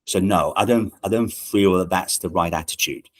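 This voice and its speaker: A middle-aged man from Italy softened by years in Britain, answering interview questions in a measured way many pauses and fillers. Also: low-pitched. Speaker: management consultant and guest. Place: podcast studio.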